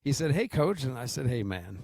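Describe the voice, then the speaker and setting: An American man co-hosting sports radio, telling joking anecdotes in a casual, unhurried way. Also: medium pitch, sing-song, a little mellow, no echo, studio quality. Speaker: sports radio co-host and coach.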